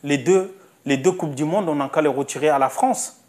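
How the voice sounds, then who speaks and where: A man's voice: fairly high pitch, moderate pace, darkish tone. A man delivering a spoken opinion monologue, insistent, repeating himself and asking rhetorical questions. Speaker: commentator.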